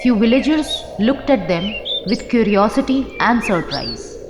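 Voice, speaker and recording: A woman's voice: on the high side, animated, unhurried, noisy background. A woman narrating a scripted horror story as an audio drama storyteller. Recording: echoey, long reverb under music. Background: music and birdsong.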